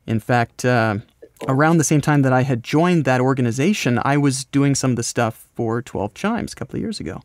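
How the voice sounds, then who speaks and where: An American man being interviewed, explaining his creative work in a casual, rambling, halting conversational way. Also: medium pitch, lively intonation, dry recording, clean studio sound. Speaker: podcast host, voice actor and scriptwriter being interviewed.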